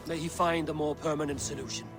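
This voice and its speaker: A man's voice: fairly high pitch, flat delivery, quick pace, over music, natural voice. An actor playing a grave, authoritative Norse god-king, stern and wary, in a video game cinematic. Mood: grave, commanding.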